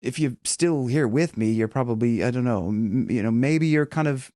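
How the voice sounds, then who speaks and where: A man's voice: mid-range voice, moderate pace, warm tone, no room sound, clean studio sound. A man teaching singing in a casual, chatty video lesson, talking emphatically and breaking off into short vocal demos.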